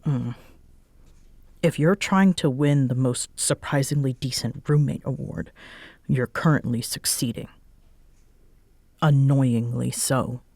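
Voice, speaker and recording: A woman acting a guarded, grudgingly warm and easily flustered young tsundere roommate in a roleplay audio drama, with halting, wry delivery. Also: rumbling low, no echo, exaggerated voice. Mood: grudgingly warm, teasing.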